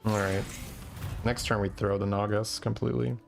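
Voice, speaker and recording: A Belgian man with a Flemish accent, a Dutch speaker, talking through a live game. His voice is low and heavily gravelly, with faint game music behind him. He reasons out loud and keeps asking himself "right?". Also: level tone, normal speed. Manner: thinks aloud, tags plans with "right?". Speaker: game streamer. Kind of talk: live gameplay commentary.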